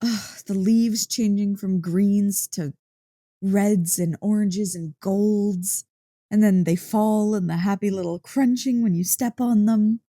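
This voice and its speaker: A woman playing an excitable, playful dog-girl girlfriend in an ASMR roleplay, gushing and teasing with mock indignation. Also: medium pitch, slow pace, no room sound, clean studio sound. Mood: dreamy, gushing. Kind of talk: ASMR roleplay, one-sided conversation.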